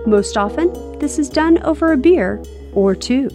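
Upbeat woman host with lively pitch. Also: a little slow. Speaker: podcast co-host. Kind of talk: scripted travel narration. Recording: narration over background music. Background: theme music.

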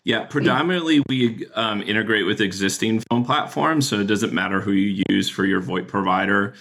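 Low, gravelly male interview guest. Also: expressive, normal speed, a bit bright. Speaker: company product executive, podcast guest. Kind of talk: conversational explanation in an interview.

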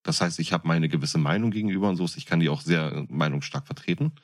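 Low-voiced man, blunt podcast co-host. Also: quick pace. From northern Germany. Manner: blunt, opinionated, stumbling repetitions. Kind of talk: casual podcast chat.

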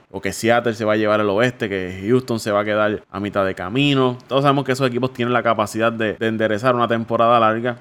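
A man with a Puerto Rican accent hosting a baseball talk show, talking at length with frequent "eh" fillers. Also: on the low side. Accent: Puerto Rican Spanish. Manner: discursive, many "eh" fillers. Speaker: sports show host.

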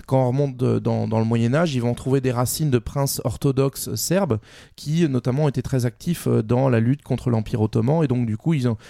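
Creaky, mellow Frenchman explaining history. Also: mid-range voice, moderate pace, no room sound, studio quality. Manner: didactic, colloquial explanations. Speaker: co-host of a history discussion. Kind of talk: casual explanatory group discussion.

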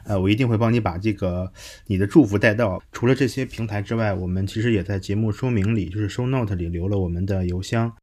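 Man hosting a podcast, lowish voice. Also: fast talker, darkish tone. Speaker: podcast host. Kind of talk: casual podcast hosting, reading listener messages.

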